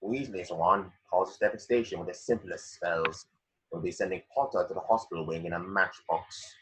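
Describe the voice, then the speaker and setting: A man reading the part of a cold, sardonic professor in a script read, measured and disdainful. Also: low voice, a bit bright, slight background noise, rough recording. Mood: cold sarcasm. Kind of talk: online script table read.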